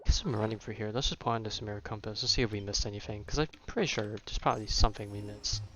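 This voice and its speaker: A man's voice: lowish voice, very fast, over music. A man giving casual live commentary as he streams a game.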